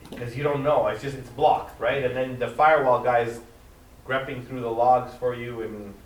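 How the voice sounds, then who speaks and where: A man's voice: mid-range voice, fairly even intonation, moderate pace. A man giving a technical conference talk, speaking emphatically and insistently. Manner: emphatic, advocating strongly. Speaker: conference presenter. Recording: echoey hall, mic from a distance.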